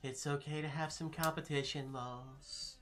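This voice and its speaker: Guy streamer joking around with chat, bright forceful voice, faint music in back. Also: a little high, fairly even intonation, measured pace. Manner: loose and joking, answers viewers by name. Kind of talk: casual chat.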